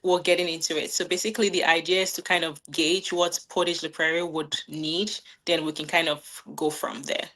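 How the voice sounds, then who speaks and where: A woman in her thirties, originally from Nigeria, answering interview questions in a relaxed, friendly way with frequent "um"s and little laughs. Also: on the low side, fairly quick, few pauses. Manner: friendly, laughs often, many "um"s and "like"s. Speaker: guest, new business owner.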